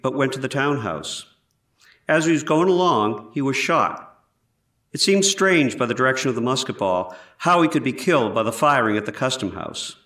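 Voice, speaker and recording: A man acting a measured surgeon witness, echoey. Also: mid-range voice, a bit bright, close to an everyday voice. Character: 18th-century surgeon testifying as a witness, measured. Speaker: actor in a historical trial re-enactment. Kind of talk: scripted courtroom testimony re-enactment. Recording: roomy echo, distant from mic.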